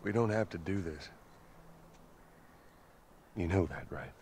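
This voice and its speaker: An actor playing a weary, gruff middle-aged male survivor who is protective and quietly tired. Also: sits low, slow pace, a little hiss or hum, exaggerated voice. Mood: tender, weary.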